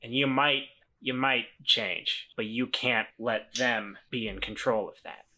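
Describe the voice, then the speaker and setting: A man playing an earnest, stubborn weasel in a tabletop game, speaking haltingly and repeating himself. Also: medium pitch, sharp tone, exaggerated voice. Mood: earnest, encouraging.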